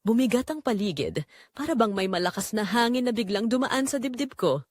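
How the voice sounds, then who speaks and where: A woman's voice: a little high, expressive, a little fast, no room sound. A woman storyteller reading a scripted first-person romance drama in a dramatic narrating style.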